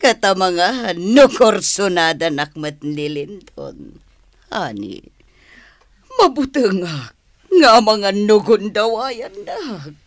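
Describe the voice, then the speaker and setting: A woman's voice: mid-range voice, sing-song, takes it slowly, no room sound, studio quality. A woman with an Ilocano accent acting in a radio drama as a coquettish, money-loving wife who wheedles. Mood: coquettish, wheedling.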